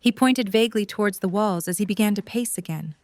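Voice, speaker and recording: A woman's voice: mid-range voice, fairly quick, a little mellow, no room sound. A woman reading scripted third-person story narration for an audio drama.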